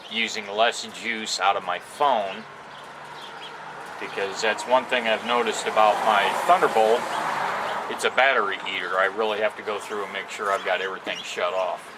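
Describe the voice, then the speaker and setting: A man giving a casual, unscripted product demo outdoors, talking in a plain-spoken, halting way. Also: fairly low pitch. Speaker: amateur product reviewer. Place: outdoors on a porch. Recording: noisy outdoor recording with ambient sounds.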